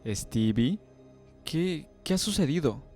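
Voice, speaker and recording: A man voicing a startled, exasperated gentleman in an audiobook, questioning and put out. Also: a little high, lively intonation, slow, soft background music. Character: male gentleman narrator, startled and exasperated at night.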